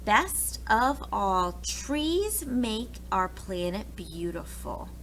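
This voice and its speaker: Female children's story reader, expressive teacher delivery, very unhurried, faint noise behind. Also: medium pitch, poor audio. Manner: expressive, talks directly to young children. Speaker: preschool teacher reading aloud. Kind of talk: children's picture book read-aloud with asides.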